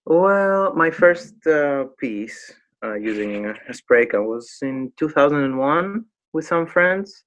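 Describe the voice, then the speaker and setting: A man in his thirties with a Greek accent, a native Greek speaker, chatting casually as an interview guest and often pausing to search for words. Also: fairly high pitch, lively intonation, fairly bright tone. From Greece. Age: 30s. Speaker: guest, graffiti artist.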